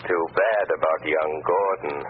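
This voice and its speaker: An actor playing a menacing, taunting phantom crime-fighter in 1930s radio drama style, deliberate and relentless. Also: male voice, deep voice, steady tone, natural voice. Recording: dull, noisy 1930s radio recording.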